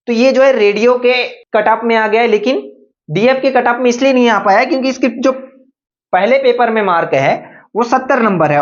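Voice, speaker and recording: A young woman giving an informal explainer, going step by step, repeating points and often adding check-in tags. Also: fairly high pitch. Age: young adult. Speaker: exam-prep video presenter and police candidate. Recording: dull lo-fi voice recording.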